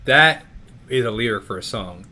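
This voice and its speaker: A man's voice: mid-range voice, lively intonation, normal speed, bright, forceful tone. A young man with a New York accent hosting a casual interview for his music channel over a video call. He rambles and restarts his sentences often, with plenty of fillers.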